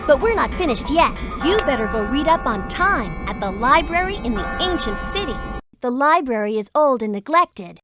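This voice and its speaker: A woman's voice: high voice, big pitch swings, moderate pace, over music. A woman voicing a decisive, wisecracking young adventurer who leads a team in a children's computer game. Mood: confident, wry. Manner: decisive, slangy.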